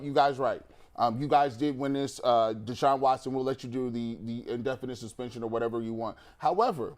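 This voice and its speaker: A man on a sports talk panel who repeats phrases for emphasis and acts out imagined quotes as he explains. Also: mid-range voice.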